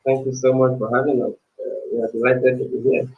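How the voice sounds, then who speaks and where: A man talks easily as a guest, friendly and relaxed, with plenty of "uh" and "you know" fillers. His mid-pitched voice comes through a thin, phone-like call line. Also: fast. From Nigeria. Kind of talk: casual interview.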